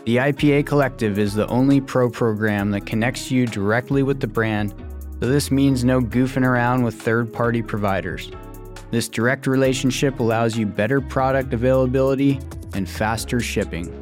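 A man reading scripted sponsor ads in an even, promotional delivery. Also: medium pitch, normal speed. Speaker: sponsor ad reader. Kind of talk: scripted ad read. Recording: ad read over soft guitar music.

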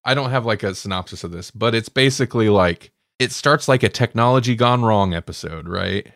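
Low-voiced man in casual podcast talk. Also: fairly quick, fairly bright tone, dry recording, clean studio sound. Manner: informal, conversational, thinking aloud. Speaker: podcast co-host. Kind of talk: casual discussion and recap of audio dramas.

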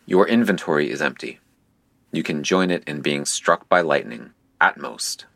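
An actor playing a solemn, ominous game-master guide, narrating a surreal audio drama in grave, poetic second person. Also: male voice, rumbling low, expressive, moderate pace, gravelly, fairly bright tone, dry recording, heavily acted. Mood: grave, detached.

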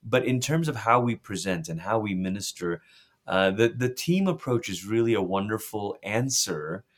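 Earnest low-voiced man from Malaysia. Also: expressive, moderate pace. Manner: earnest, reflective, frequent restarts. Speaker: pastor and author. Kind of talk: podcast interview conversation.

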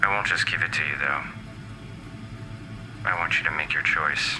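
A man playing a haunted, bitter scientist gone menacing, giving a brooding, deliberate audio drama monologue as a voicemail. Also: very deep voice, background noise. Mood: menacing, resolved.

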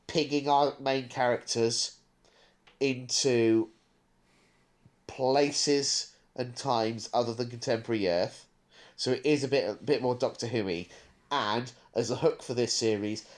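Male podcast co-host, mid-pitched, conversational and discursive, thinks out loud. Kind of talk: casual podcast chat.

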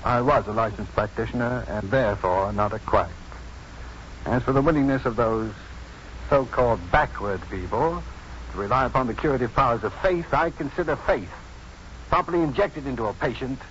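Male actor, coolly defiant doctor, precise diction, medium-pitched, dry wit, vintage radio drama, muffled sound. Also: normal speed, a bit bright, lightly acted. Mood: firm, earnest. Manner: formal, precise, dryly sardonic. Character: proud unconventional male doctor, coolly defiant and dryly witty. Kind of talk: 1950s radio drama acting. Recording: dull noisy 1950s radio recording.

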